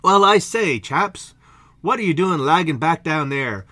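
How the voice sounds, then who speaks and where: A man's voice: fairly high pitch, expressive, unhurried, bright, forceful tone, dry recording, full character voice. A man doing a pompous posh hunter. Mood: blustering. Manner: posh, blustering, old-fashioned phrasing.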